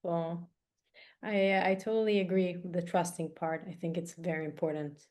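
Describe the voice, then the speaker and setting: A woman's voice: on the low side, flat delivery, moderate pace, a little mellow. Encouraging woman podcast host. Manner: supportive, encouraging. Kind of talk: interview questions and responses.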